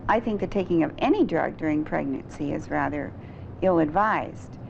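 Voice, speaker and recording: Lady scientist in an old film interview, lowish creaky voice, measured, bit of noise. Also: lively intonation. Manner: measured, halting, precise scientific phrasing. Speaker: doctor, research scientist. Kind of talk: explanatory interview testimony. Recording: dull, slightly noisy old film interview.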